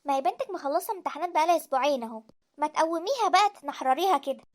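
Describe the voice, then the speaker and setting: A woman's voice: high-pitched, lively intonation, rapid-fire. A woman voicing a sulky Egyptian teenage girl who complains about her chores. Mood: whiny. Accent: Egyptian Arabic. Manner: whiny, complaining.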